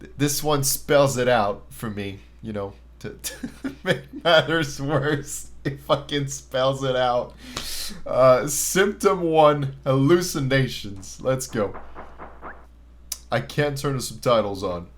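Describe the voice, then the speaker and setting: A man's voice: mid-range voice, slow, slight background noise. A man hosting a reaction video with casual, informal commentary, swearing and repeating phrases for emphasis.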